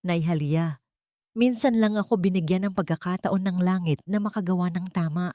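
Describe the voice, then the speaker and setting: A woman's voice: on the low side, moderate pace, warm tone, dry recording, lightly acted. A woman voicing a brave, poor male scavenger, firm and protective, in an audio drama. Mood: resolute. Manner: firm, determined.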